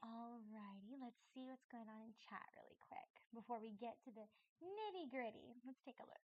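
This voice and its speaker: High-pitched woman chatting on a stream. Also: normal speed, no echo, muffled recording. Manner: chatty, exclaiming greetings to viewers. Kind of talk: casual live stream chat.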